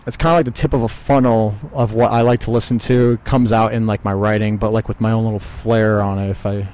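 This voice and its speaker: A man's voice: fairly low pitch, little pitch movement, fast talker, darkish tone. A man from New York, a band's lead singer, joking and rambling in a casual outdoor interview. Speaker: guitarist and lead vocalist. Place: outdoors in a parking lot.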